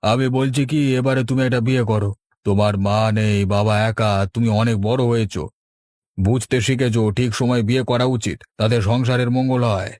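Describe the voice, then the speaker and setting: A man's voice: medium pitch, steady tone, normal speed, a little mellow, no echo, clean studio sound, lightly acted. A man playing an earnest middle-aged village uncle in a cartoon, explaining things in a persuasive, avuncular way. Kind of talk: Bengali cartoon dubbing.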